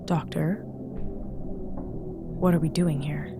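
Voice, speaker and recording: An actress playing a bitter, commanding spaceship captain in an audio drama, speaking tersely with sardonic distrust. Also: female voice, low voice, fairly even intonation, slow. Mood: wary, puzzled. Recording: music under the voice. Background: dramatic music.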